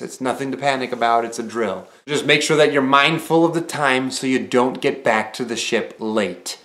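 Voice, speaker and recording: A man's voice: mid-range voice, fairly bright tone. Guy in his 30s vlogging advice at home, chatty with lots of ums, kinda echoey room sound. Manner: conversational, explanatory, frequent "uh" and "um" fillers. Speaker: vlogger and former cruise ship entertainer. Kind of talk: casual advice vlog to camera. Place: small room at home, indoors. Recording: roomy echo from camera mic.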